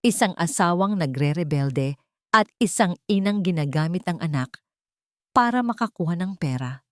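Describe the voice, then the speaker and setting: A woman's voice: fairly low pitch, expressive, moderate pace, no room sound, studio quality, lightly acted. A woman voicing a stern, unemotional female lawyer in her forties in a dramatic story, blunt and probing.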